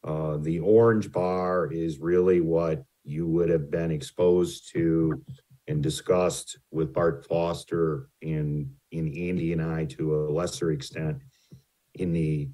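Very deep, slow man presenting figures. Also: mellow. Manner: hesitant, many ums and restarts; says his voice tends to carry. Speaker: utility rate consultant presenting to a city. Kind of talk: formal presentation with slides.

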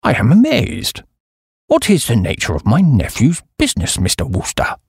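A stingy, pompous old British duke, put on by an audiobook reader, a man. He grumbles with aggrieved grandeur in a creaky, husky voice, recorded close and dry. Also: mid-range voice, huge pitch swings, moderate pace, no echo, exaggerated voice. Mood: aggrieved, astonished. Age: older.

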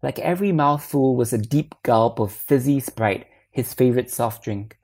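A woman reading a literary short story aloud as narrator, in a measured and expressive manner. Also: extremely low pitch.

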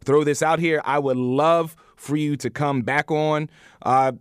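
A man hosting a podcast interview in a casual, informal way, feeling out his questions in halting phrases. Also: on the high side, fairly even intonation, clean tone, dry recording, clean studio sound.

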